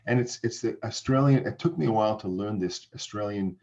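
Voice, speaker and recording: Deep-voiced Canadian man on a dull call. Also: a little mellow. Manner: halting, many restarts and fillers. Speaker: company founder presenting. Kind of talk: seminar Q&A answers. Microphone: video call. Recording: dull lo-fi video call audio.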